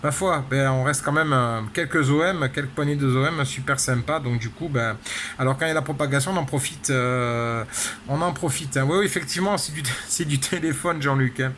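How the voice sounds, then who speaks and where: A man's voice: medium pitch, fairly quick, fairly bright tone. A man chatting casually as an amateur radio operator, peppering his talk with tag words. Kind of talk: casual radio contact chat. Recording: clear background noise.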